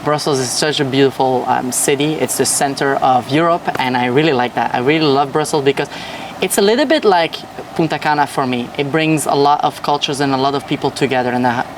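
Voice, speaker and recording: A man's voice: medium pitch, recorded from a distance, noisy background. A young man from Belgium, a podcast guest, telling his story with enthusiasm in casual chat. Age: young adult. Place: at a hotel.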